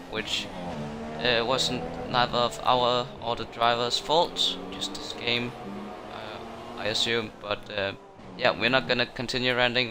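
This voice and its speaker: A man commentating a racing game league, his voice jumping up when incidents happen. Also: mid-range voice. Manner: excitable, rises sharply on incidents. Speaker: race commentator. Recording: noisy game audio behind. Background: racing game engine noise.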